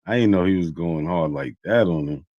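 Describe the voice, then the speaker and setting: A man co-hosting a stream, reacting to a clip with excited, hyped chat. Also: low voice, normal speed, no echo, muffled recording.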